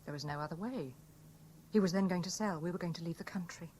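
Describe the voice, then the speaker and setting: A woman's voice: on the low side, fast talker, darkish tone, close to an everyday voice. An actress playing a mistress confessing her part in a murder plot, with theatrical 1970s radio drama delivery. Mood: subdued confession. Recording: noisy old radio broadcast recording.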